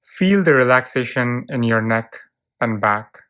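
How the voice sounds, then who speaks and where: A narrator leading a guided visualization in a calm, soothing, measured way, leaving long pauses between short phrases. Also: mid-range voice, clear, resonant tone. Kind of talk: guided visualization, relaxation script.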